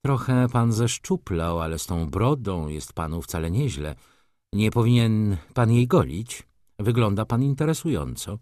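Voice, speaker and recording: A man voicing an imperious, flirtatious society lady from a novel, commanding and theatrical, quick to scornful indignation. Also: on the low side, big pitch swings, a little mellow, no echo, studio quality. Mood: teasing, bossy.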